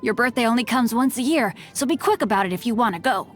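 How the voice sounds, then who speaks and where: A woman's voice: fairly high pitch, lively intonation, fast talker, close to an everyday voice. A woman voicing a courteous, bookish teenage boy martial artist in a video game, with refined, eloquent and formal diction. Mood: playful, earnest. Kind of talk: video game character voice lines. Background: music.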